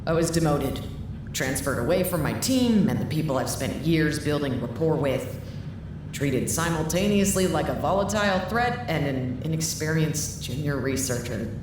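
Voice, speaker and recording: A woman's voice: low voice, expressive, normal speed. A woman playing a blunt, weary leader of a rebel research staff in an audio drama, speaking frankly and dryly with some swearing. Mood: frank, bitter. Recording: echoey room, clear background noise.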